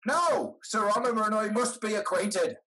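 A man's voice: high voice, normal speed, bright, forceful tone. An older man playing a shrewd, blunt, wealthy old uncle in a period stage comedy, declaiming emphatically. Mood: stubborn. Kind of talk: theatrical period comedy acting over video call. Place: indoor room at home. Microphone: computer mic on video call.

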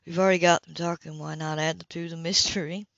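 A woman's voice: on the low side, little pitch movement, brisk, vocal fry, fairly bright tone, no room sound, muffled recording. A woman reading the lines of a prim, proud, indignant woman in an amateur audiobook. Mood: cool, stiff.

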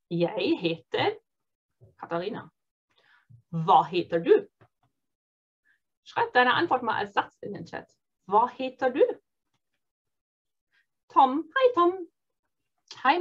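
A woman teaching an online beginner Norwegian course, cheerful and encouraging, modelling phrases slowly and clearly. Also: high-pitched, lo-fi sound. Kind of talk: online beginner language lesson.

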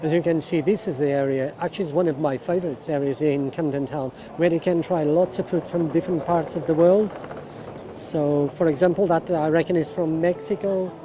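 A man's voice: fairly high pitch, fairly even intonation, brisk. A middle-aged man giving casual walking tour commentary in an enthusiastic way, often exclaiming and repeating his phrases. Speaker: vlogger and walking tour guide. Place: busy outdoor street market. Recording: outdoor crowd noise, background music. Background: music and crowd chatter.

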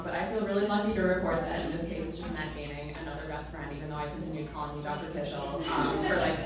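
A woman in her thirties giving a chatty, emotional bridesmaid toast at a standing mic, with many ums and bursts of laughter. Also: sits low. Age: 30s. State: emotional, near tears. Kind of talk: wedding toast read from a phone. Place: small indoor reception room. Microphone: standing mic, filmed from across the room. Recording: echoey room from a distant camera, noisy crowd.

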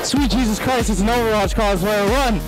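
Young male mock reporter, brash and high-voiced, handheld mic, music bed. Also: fairly quick, rough recording. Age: young adult. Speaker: mock news correspondent. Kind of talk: comedic on-the-street interviewing. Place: busy convention hall.

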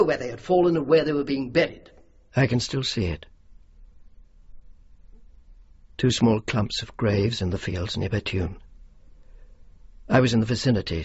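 An actor playing a dignified, earnest and courteous middle-aged major general in a radio drama, speaking in formal manner. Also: male voice, medium pitch, breathy edge. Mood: reflective. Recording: dull, faintly noisy radio recording.

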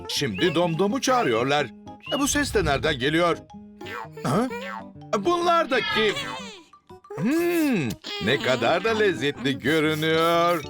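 Lively, slow children's cartoon narrator. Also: mid-range voice. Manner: exclaiming, jumping into the characters' lines. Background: cartoon music.

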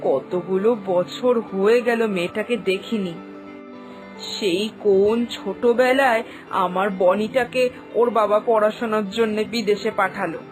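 A woman's voice: medium pitch, lightly acted, poor audio. A woman voicing a doting, anxious but stubborn village mother in a cartoon, with a homely, chatty, plaintive way of talking. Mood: fond, eager. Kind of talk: dramatised cartoon dialogue.

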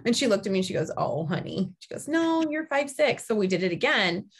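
A woman's voice: medium pitch, fairly quick, slightly rough. A woman in her forties hosting a casual group chat over a video call, telling stories and acting out what people said. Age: 40s. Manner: chatty, animated storyteller who acts out quoted people. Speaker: podcast host. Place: home room, indoors.

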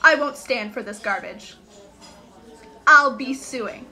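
An indignant, accusing mother in a radio comedy, acted by a woman. Her voice is high and sharp. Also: slow pace, full character voice. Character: irate, indignant mother threatening to sue. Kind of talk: amateur radio play acting.

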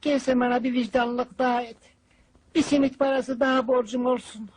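An actor playing a naive, put-upon young worker in a comedy film, speaking in a simple, plaintive, deferential way. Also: male voice, extremely high pitch, little pitch movement, moderate pace, a crowd in the background, poor audio. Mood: pleading, deferential. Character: naive, put-upon young male hired goalkeeper, meekly protesting. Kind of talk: comic film dialogue.

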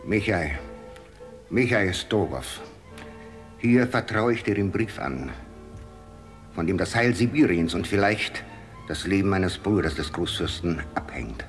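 Radio play monarch: commanding, solemn Tsar, low voice, measured imperial delivery. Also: male voice, animated, faint music behind. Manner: formal, imperious, measured phrasing. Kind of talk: German radio play acting.

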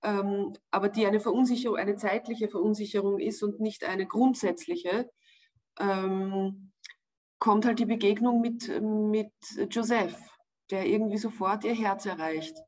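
A woman in her forties with an Austrian accent, an actress answering interview questions thoughtfully over a video call, pausing to search for words. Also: medium pitch, fairly even intonation, a little mellow. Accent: Austrian German. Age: 40s. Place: home room with wood panelling, indoors. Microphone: video call webcam.

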